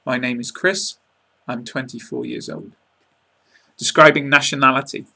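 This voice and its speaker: A young English man in his twenties with an English accent, giving an online lesson to a webcam in a small room, speaking in a clear, measured teaching manner with casual asides. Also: mid-range voice, steady tone, sharp tone. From England. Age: 20s. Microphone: webcam at close range.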